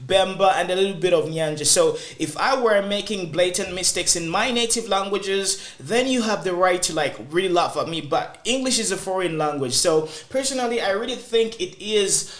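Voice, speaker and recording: A Zambian man hosting a casual discussion show, talking in an animated, chatty way and thinking aloud as he goes. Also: high-pitched, normal speed, hardly any pauses. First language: Lozi. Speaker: host.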